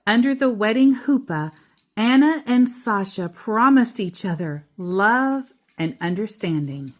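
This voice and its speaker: A woman's voice: mid-range voice, expressive, a little slow. A woman reading a children's picture book aloud, with simple explanations in casual asides to a young listener.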